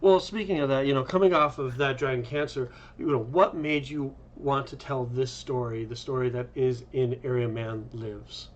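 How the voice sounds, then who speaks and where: A man's voice: medium pitch, steady tone, normal speed, hardly any pauses, a little hiss or hum. A man hosting an interview with a panel of game developers. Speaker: host. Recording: slightly dull, lo-fi microphone.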